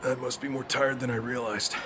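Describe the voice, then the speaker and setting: A man's voice: mid-range voice, little pitch movement, a little fast, lightly acted. An actor playing a tired, flustered male security guard who sounds nervous and apologetic, with music playing behind him.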